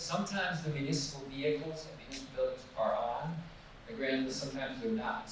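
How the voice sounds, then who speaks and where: A man's voice: medium pitch, slight background noise. A man presenting his nonprofit's tool and answering audience questions in a conversational, restarting manner. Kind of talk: public Q&A presentation. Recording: echoey hall from far off.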